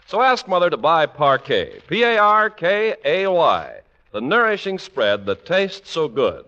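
Male American announcer, 1940s radio sponsor pitch, raised pitch, punchy bright tone, vintage lo-fi broadcast sound. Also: sing-song, a little slow. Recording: dull, band-limited 1940s radio recording.

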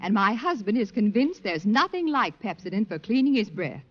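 A woman's voice: medium pitch, very animated, normal speed. A woman giving a scripted testimonial in the style of a 1940s radio advertisement. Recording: muffled 1940s radio recording.

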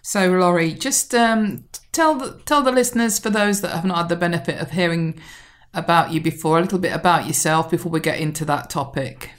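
A woman from the United Kingdom with a British accent, hosting an interview in a measured, polite way as she guides her guest with questions. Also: fairly low pitch. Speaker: podcast host.

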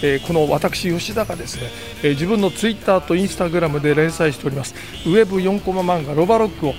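An older man hosting a podcast on location, chatting politely in a lowered voice, first on a street and then inside a gallery. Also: a little fast, over music. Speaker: podcast host. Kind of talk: casual chat on location. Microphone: handheld mic held out by a staffer.

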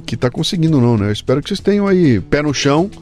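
A man's voice: on the high side, sing-song, quick pace, darkish tone. A man hosts an interview podcast in an informal, emphatic manner, full of slang. He is from Brazil. Faint music sits underneath. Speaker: podcast host. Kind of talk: casual interview chat.

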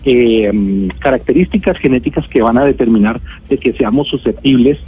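Husky Colombian man on a phone-like line. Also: medium pitch, moderate pace. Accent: Colombian Spanish. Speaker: genetics expert, interview guest. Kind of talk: radio interview, explaining. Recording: narrow phone-like line, music.

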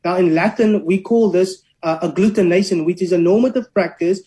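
An academic talks through ideas in a measured, lecturing way. The voice is mellow and warm rather than bright, and sits at a middle pitch. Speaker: linguist and academic. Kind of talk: explaining in an interview.

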